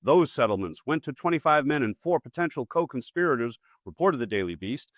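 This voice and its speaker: Wry male political commentator, animated pitch. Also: brisk, no echo, clean studio sound. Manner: wry, punchy, colloquial asides. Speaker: host.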